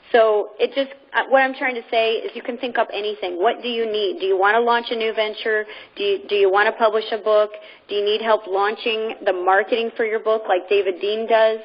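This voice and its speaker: Female call host, informal and persuasive, conversational rambling delivery, muffled telephone sound. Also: medium pitch. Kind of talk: informal motivational pitch. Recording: muffled, band-limited conference phone line.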